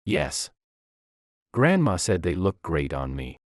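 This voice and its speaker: A man's voice: sits low, big pitch swings, slow pace, no echo, clean studio sound, full character voice. A man voicing a cheerful, innocent and forthright little girl who is proud of her father in a narrated story. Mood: joyful.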